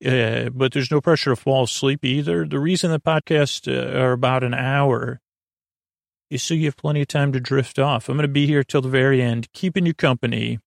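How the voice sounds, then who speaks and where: A man hosting a bedtime podcast, talking in a casual, meandering, halting way with goofy, reassuring asides and a gravelly voice. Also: mid-range voice, fairly even intonation, no echo, studio quality. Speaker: podcast host.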